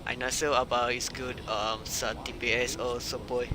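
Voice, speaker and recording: A male game streamer speaking halting non-native English in casual live commentary over a windy, uncovered microphone. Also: medium pitch, little pitch movement. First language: not English. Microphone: microphone without its cover.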